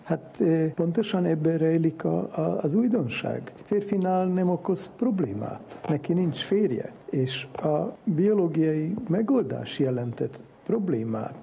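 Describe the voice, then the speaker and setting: A man playing an earnest foreign geneticist in a mock interview, speaking broken Hungarian with a foreign accent, haltingly mixing in English terms. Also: fairly high pitch, animated, creaky, warm tone. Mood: earnest, explaining. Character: earnest foreign cloning scientist from an island dictatorship, broken Hungarian. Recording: muffled lo-fi with faint background noise.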